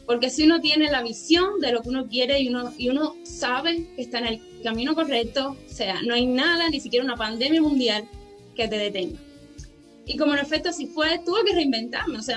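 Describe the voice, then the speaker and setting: A woman in her twenties from Cuba, a guest telling her life story in a radio interview, earnest and unhurried with many fillers. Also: high-pitched, a bit bright. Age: 20s. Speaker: interview guest, pageant winner and ballet dancer.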